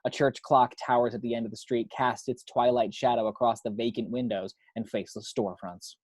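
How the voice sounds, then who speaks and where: A man's voice: mid-range voice, steady tone, fairly quick, a little mellow, breathy edge, no room sound. A man reading screenplay action and scene headings as narrator for a table read at home. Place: at home, dry close recording.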